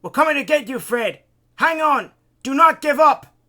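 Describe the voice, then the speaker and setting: A man playing an urgent, loyal crewman calling out loudly in a storybook sea rescue. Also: very high voice, lively intonation, normal speed, pitched above the performer's own voice, exaggerated voice. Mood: urgent encouraging.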